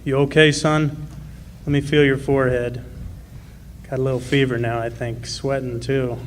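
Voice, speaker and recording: A man's voice: mid-range voice, little pitch movement, normal speed, natural voice. An actor playing a protective, steadfast striking miner and father in a live radio drama. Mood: worried, tender. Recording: noisy live stage radio play recording.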